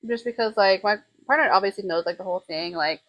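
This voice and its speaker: A woman's voice: mid-range voice, fast, fairly bright tone. A woman in her twenties, a podcast guest in a tattoo studio, rambling through candid casual chat and laughing often. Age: 20s.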